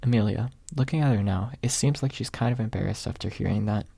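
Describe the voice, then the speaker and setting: A man voicing a gentle, candid young woman in a soft-spoken fantasy audiobook. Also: lowish voice, fast talker, creaky, breathy edge, no room sound. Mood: concerned.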